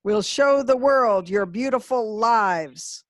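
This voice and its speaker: A woman acts out a controlling official announcer in a dystopian drama. The delivery is very slow, formal and commanding. The voice is slightly high and sounds dry and close. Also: expressive, dry recording. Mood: controlling, composed. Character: controlling official announcer of an underground surveillance regime. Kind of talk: stage-play public announcement.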